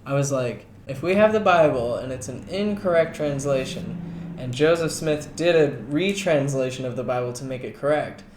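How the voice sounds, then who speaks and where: A man gives casual, sarcastic commentary, often slipping into mock quotes of other people. His voice sits at a middling pitch. The sound is echoey, with faint background noise. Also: normal speed. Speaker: co-host. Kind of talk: casual, animated conversational commentary.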